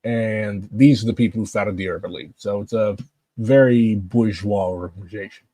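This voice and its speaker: A man's voice: lowish voice, fairly even intonation, moderate pace, warm tone, dry recording. A man with a St. giving blunt, impassioned political commentary. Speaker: political activist.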